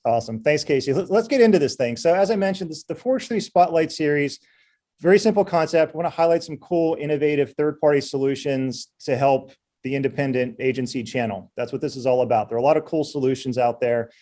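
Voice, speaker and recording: A man's voice: on the high side, fast talker, warm tone. A middle-aged man hosting a casual business webinar over a video call, chatty and easygoing with many fillers. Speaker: webinar host.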